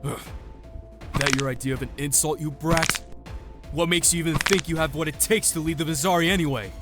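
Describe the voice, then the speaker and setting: A man's voice: on the high side, a little fast, close to an everyday voice. A man playing a proud, irritable teenage clan leader in a visual novel, commanding and sarcastic. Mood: scornful. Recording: music behind the voice. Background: music.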